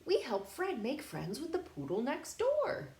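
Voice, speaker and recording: A woman reading a picture book aloud in a playful, expressive way, pausing to put questions directly to young children. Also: high-pitched. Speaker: storytime reader for young children. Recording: roomy echo, faint background noise.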